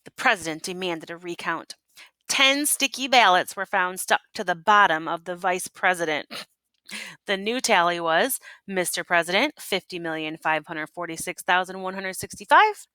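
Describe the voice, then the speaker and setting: A woman reading a children's picture book aloud in an expressive, teacherly way, pausing to prompt listeners with questions. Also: lowish voice, no echo. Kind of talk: expressive read-aloud storytelling with asides and questions.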